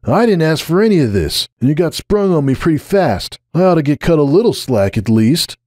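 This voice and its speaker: A man's voice: on the high side, big pitch swings, fairly quick, darkish tone, dry recording, clean studio sound, pitched above the performer's own voice. A man voicing a nervous, defensive young male pegasus pony with a casual, sheepish manner in a story reading. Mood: indignant.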